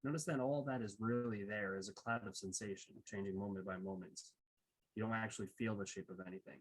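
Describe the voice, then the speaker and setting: A man guides a meditation in a slightly low, husky voice. He gives gentle instructions in short phrases, leaving pauses between them and taking his time. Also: fairly even intonation, poor audio.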